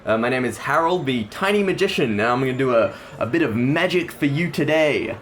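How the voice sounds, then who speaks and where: A man's voice: mid-range voice, expressive, a little fast, slight background noise, lightly acted. A man voicing a tiny, brash magician in a comedy sketch, calling out repetitive showman patter. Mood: eager showman.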